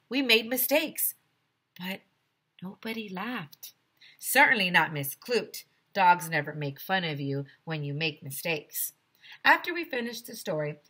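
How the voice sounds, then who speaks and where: A woman teacher giving an expressive children's read-aloud, explaining things clearly with asides for young listeners. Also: on the low side. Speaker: teacher reading aloud to pupils.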